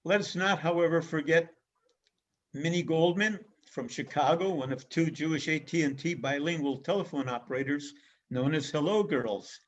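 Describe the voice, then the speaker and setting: A man giving an illustrated history lecture as a guest speaker, speaking in a measured way with frequent pauses and fillers. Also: on the high side. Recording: dull lo-fi recording.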